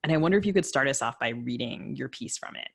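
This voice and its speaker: A woman's voice: rumbling low, lively intonation, fast talker, slightly rough. A woman speaks as the co-host of a literary podcast. She makes introductions and chats with guests over a remote video call.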